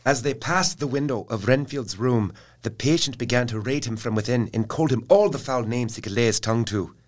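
An actor playing a dutiful asylum doctor, reading out a formal report in an orderly, matter-of-fact way for an audio drama. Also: male voice, medium pitch, fairly quick, lightly acted. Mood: formal, businesslike.

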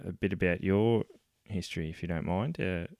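A very deep-voiced Australian man hosts a relaxed music podcast. He sounds upbeat and laughs easily, with plenty of fillers. He is recorded close and dry. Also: normal speed, creaky, no echo. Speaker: podcast host. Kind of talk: casual podcast chat.

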